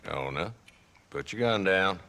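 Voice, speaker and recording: An actor playing a commanding, unruffled town marshal in a western game, speaking with authority. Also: male voice, low voice, expressive, measured pace, sharp tone, full character voice. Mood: stern. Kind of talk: western video game acting.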